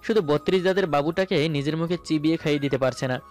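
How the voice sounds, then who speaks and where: Higher-voiced man narrating a romantic story. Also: fast. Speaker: story narrator and male lead. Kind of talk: dramatic first-person romantic story narration. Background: background music.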